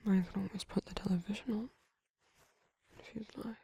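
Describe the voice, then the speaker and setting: A woman playing a tender, flirty vampire in an intimate romantic ASMR roleplay, whispering slowly with doting, teasing words and soft hums. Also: mid-range voice, steady tone, slight background noise, close to an everyday voice. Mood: soft, gently teasing. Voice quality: breathy, husky whisper.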